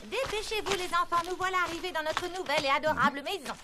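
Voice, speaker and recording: A woman's voice: extremely high pitch, fast talker. A woman voicing a fussy, doting mother in a children's cartoon, with a coaxing, theatrical delivery. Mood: bustling, doting. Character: fussy, doting mother who cooks cabbage soup. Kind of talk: French-dubbed children's cartoon acting. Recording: music behind the voice.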